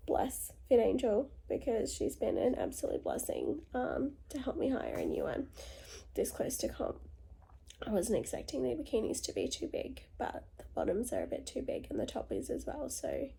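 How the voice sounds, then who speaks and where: Young woman, vlogger, lost her voice: raspy, gravelly, higher pitch, casual diary delivery. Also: wildly swooping pitch, normal speed, warm tone, poor audio. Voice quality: croaky. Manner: tired, low-key, upbeat despite illness. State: hoarse from illness; congested, chesty cough.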